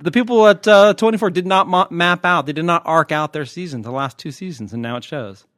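A man's voice: a little high, fairly quick, fairly bright tone, dry recording, studio quality. An American man hosting a podcast, chatting casually and off the cuff in a joking, irreverent and digressive way, with some mild swearing. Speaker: podcast host, TV critic. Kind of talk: casual, unscripted podcast chat.

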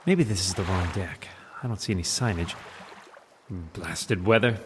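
An actor playing a suave millionaire playboy who is secretly a pompous vigilante, in theatrical old-time radio serial style. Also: male voice, lowish voice, fairly bright tone, long reverb tail, a little hiss or hum. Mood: puzzled musing. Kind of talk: 1940s radio serial acting.

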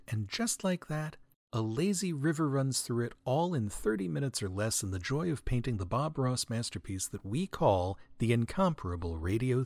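Male comedy podcast host, mid-pitched, deadpan with mock-grand, wordy flourishes and animated intonation, dry close-mic sound. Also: dry recording. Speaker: podcast host, writer and audio editor. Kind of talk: wry comedic monologue, answering listener mail.

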